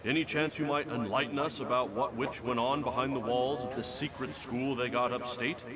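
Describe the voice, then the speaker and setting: A man's voice: medium pitch, steady tone, moderate pace, over a noisy crowd, close to an everyday voice. Man acting oily, folksy American senator. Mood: pompous. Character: folksy, manipulative middle-aged male senator, bullying beneath charm. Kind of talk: motion comic voice acting.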